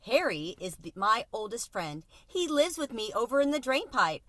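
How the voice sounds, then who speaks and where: A woman's voice: on the high side, big pitch swings, normal speed, a bit bright, dry recording. A woman voicing a talkative male city mouse in a children's storybook, breezy and scornful, with casual, emphatic big-city patter. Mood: breezy, chummy.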